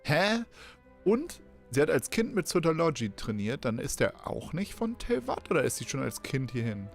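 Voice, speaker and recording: A woman's voice: low voice, very animated, moderate pace. A native German woman casually reacting to a video game and reading dialogue aloud as she plays. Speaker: game streamer. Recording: faint game music behind.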